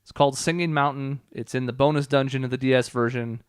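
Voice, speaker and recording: A man's voice: mid-range voice, fairly even intonation, quick pace, clean tone, no room sound, studio quality. A man hosting a let's play, giving casual, opinionated commentary and explanations over video game music. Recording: game music playing behind.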